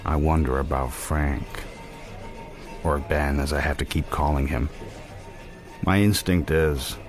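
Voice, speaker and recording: An actor playing a worldly, watchful man narrating a radio drama in the first person, introspective, measured and dry. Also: very deep voice, fairly even intonation, music in the background. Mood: pensive, wry. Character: worldly, reflective, watchful male narrator-protagonist.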